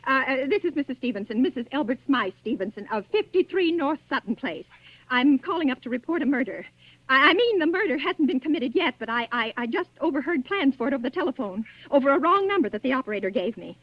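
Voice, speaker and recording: A woman's voice: high-pitched, sing-song, quick pace, dry recording. An actress playing an anxious, haughty bedridden wife in an old radio suspense drama, stammering and flustered as she makes demands. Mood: agitated, frightened. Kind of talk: 1940s radio suspense acting. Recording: muffled vintage AM radio recording.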